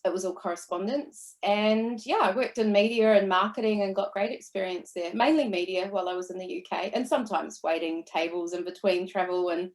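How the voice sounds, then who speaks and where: A woman in her forties with a New Zealand accent talks as a podcast guest. She tells her stories in an easy, chatty way with a voice that sits in a middle pitch. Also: fairly quick, hardly any pauses. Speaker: guest, entrepreneur. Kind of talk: casual interview chat.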